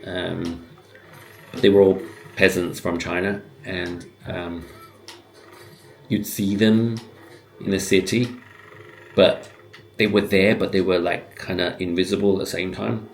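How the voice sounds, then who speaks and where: Very deep-voiced, gravelly man musing aloud. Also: fairly even intonation. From born in Hong Kong, grew up in the West, living in the UK. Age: 50s. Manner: halting, musing, with pauses mid-sentence. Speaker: video host giving commentary. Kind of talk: reflective, unscripted personal commentary.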